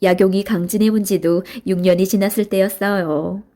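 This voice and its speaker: Mellow woman narrating a children's storybook. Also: medium pitch, steady tone, moderate pace.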